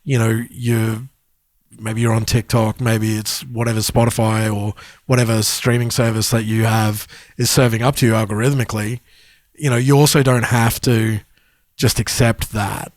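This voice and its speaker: A man's voice: fairly low pitch, flat delivery, normal speed, no echo. A man co-hosting a podcast in casual chat, thinking aloud as he explains his points. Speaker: podcast co-host.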